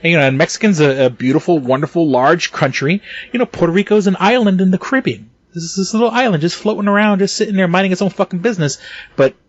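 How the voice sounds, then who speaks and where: A man's voice: high-pitched, quick pace, dry recording, lo-fi sound. A man co-hosting a casual podcast, talking in a heated, profane way with stumbling restarts and bursts of laughter. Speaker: podcast co-host.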